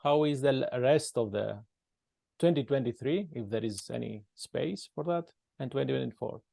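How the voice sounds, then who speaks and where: A man with a Greek accent, whose first language is Greek, interviewing a musician over a video call in a friendly, halting way, laughing often. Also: mid-range voice, gravelly, dark tone. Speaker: interviewer, podcast host.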